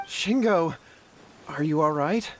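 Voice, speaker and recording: A man's voice: a little high, expressive, takes it slowly, slight background noise. An actor playing an anxious, contrite young man in an anime video game. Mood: remorseful.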